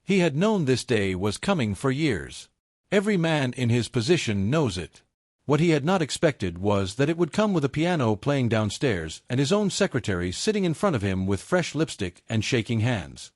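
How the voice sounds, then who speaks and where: Male narrator, expressive and dry-recorded. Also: mid-range voice, moderate pace, dry recording. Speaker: audiobook narrator. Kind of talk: dramatic scripted narration of a romance thriller.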